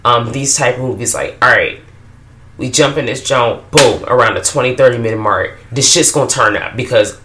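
A woman co-hosting a casual movie review chat, blunt and profane, in a tired, low after-work voice. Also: normal speed, noisy background. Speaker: podcast co-host. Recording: roomy echo.

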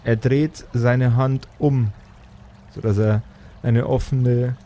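Male game master, unhurried and dark-toned, improvising fantasy narration with dramatic pauses over faint ambience. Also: medium pitch, fairly even intonation.

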